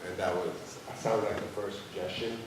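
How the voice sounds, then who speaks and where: A man's voice: deep voice, steady tone, a little fast. A man moderating a discussion and asking an audience for opinions. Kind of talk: taking audience questions. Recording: echoey hall, distant mic, noisy.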